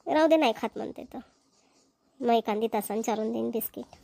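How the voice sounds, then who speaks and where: High female voice, woman acting as cartoon village housewife, rural Vidarbha Marathi accent, colloquial comedy dialogue. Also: a little fast, creaky, mellow, dry recording. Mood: motherly. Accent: Maharashtrian, rural Vidarbha Marathi. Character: young village women, mothers and nagging wives in a comedy.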